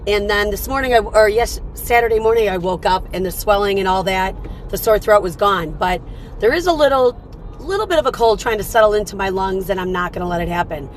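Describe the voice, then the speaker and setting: A woman hosting a casual morning livestream, chatty and upbeat, her voice slightly raspy from a cold. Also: mid-range voice, a little fast, slight background noise. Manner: upbeat, chatty, greets viewers by name. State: fighting off a cold. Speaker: livestream host.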